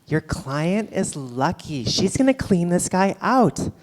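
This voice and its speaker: A woman's voice: fairly low pitch, big pitch swings, slow, darkish tone, a little hiss or hum. A woman playing a blunt, practical photographer in a staged play reading, speaking in a dry, matter-of-fact way. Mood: dry, casual. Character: blunt, practical, exasperated female photographer and fixer. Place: live on a theatre stage. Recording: reverberant theatre hall.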